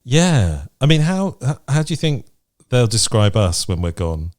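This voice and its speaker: A man's voice: medium pitch, sing-song, a little slow, a little mellow. A man co-hosting a podcast, chatting casually and frankly in a matter-of-fact way. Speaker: podcast co-host. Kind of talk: casual chat. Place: remote podcast recording.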